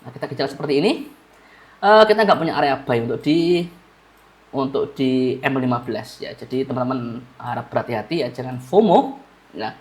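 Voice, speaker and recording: A man's voice: medium pitch, lively intonation, normal speed. Male market analyst, congested nasal voice, casual repetitive walkthrough of charts. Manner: casual, repeats key phrases, addresses viewers as friends. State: stuffy-nosed, under the weather. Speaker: trading analyst.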